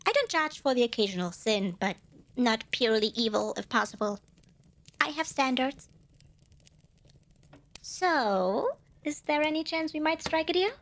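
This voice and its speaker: High-pitched, lively little demon girl, acted by a woman. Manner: playful wheedling salesmanship with flustered self-corrections. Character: cute little female demon, centuries old, eager, cuddle-craving. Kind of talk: scripted ASMR fantasy roleplay addressed to the listener.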